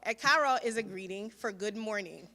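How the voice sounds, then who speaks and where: A woman speaks to an outdoor crowd in a bright, instructive way. She leads them in repeating words back to her. Her voice echoes through a public address system with a long reverb. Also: mid-range voice, quick pace. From Nigeria. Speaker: food truck owner. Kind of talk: public teaching speech.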